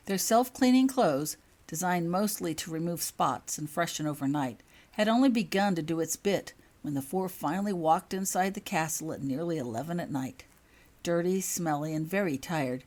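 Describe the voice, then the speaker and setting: Low-pitched, very animated woman storyteller. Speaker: author reading own story. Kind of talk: expressive storybook narration.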